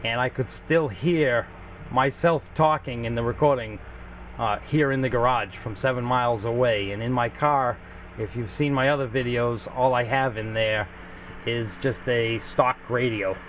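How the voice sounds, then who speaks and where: A man's voice: mid-range voice, unhurried, a little hiss or hum. A man giving a casual, informal equipment walkthrough as a hobbyist video host, explaining things plainly. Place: home garage.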